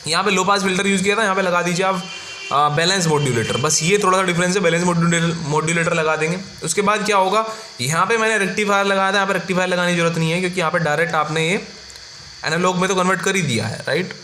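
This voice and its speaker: Bright, higher-pitched Indian male tutor. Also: steady tone, fast. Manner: informal, instructional, frequent check-words like "right" and "okay". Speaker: engineering tutor. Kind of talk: exam-focused lecture explaining block diagrams. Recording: roomy echo with faint background noise.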